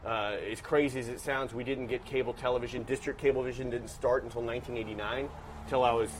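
Brief: man, 50, professor guest, mid-pitch, analytical storytelling, faint ambience behind. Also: flat delivery, quick pace, slight background noise, low-quality recording. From Washington, D.C. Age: 50s. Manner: thoughtful, analytical, with many restarts. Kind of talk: casual interview storytelling.

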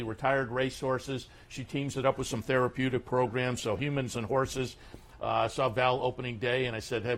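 A man's voice: mid-range voice, a bit bright. Easygoing racing show host, man. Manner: easygoing, filler-heavy intros. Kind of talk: casual radio-style interview. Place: racetrack backstretch, outdoors.

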